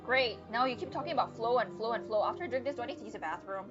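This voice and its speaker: A young woman streamer with a fairly high voice chats casually over a game, with playful, wry remarks. The mic sounds a bit dull, and game music plays clearly behind her. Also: lively intonation, very fast. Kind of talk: casual livestream commentary, reading game text aloud.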